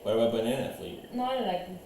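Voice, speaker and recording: A man's voice: sits high, very animated, rapid-fire, darkish tone. A man hosting a podcast, chatting casually in a laid-back way and chuckling between questions. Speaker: podcast host. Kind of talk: casual interview chat. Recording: very echoey room with faint noise.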